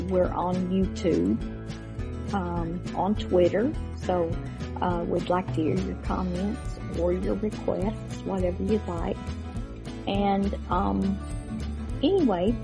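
Lady podcast host, rambly and slow, creaky voice, guitar music behind her. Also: lowish voice, steady tone. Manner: halting, rambling. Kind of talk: casual chatty show introduction.

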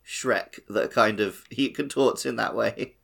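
Man with creaky voice, casual podcast chat. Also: lowish voice, unhurried, a bit bright. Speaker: podcast co-host.

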